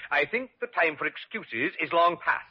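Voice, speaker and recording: An actor playing a stern, fussy hospital chief in a radio drama, speaking in a pompous, formal, scolding way. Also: male voice, a little high, expressive, moderate pace, husky. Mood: stern, angry. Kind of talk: old-time radio drama acting. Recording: dull old radio broadcast recording.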